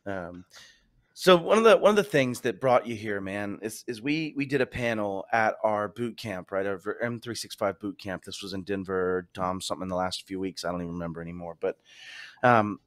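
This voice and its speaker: A man's voice: on the low side, a little fast, a bit bright. A man hosting a casual chat, talking in an enthusiastic, rambling way. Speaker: podcast host.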